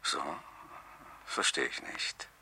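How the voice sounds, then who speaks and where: An actor playing a calm, evasive older family doctor, soothing and measured, in a 1970s radio crime drama. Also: male voice.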